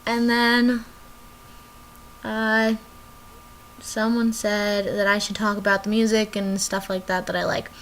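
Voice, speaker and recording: A woman's voice: mid-range voice, level tone, takes it slowly, long pauses, a bit bright, poor audio. A young woman vlogging casually from her bedroom, rambling and drifting off topic as she chats to the camera. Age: young adult.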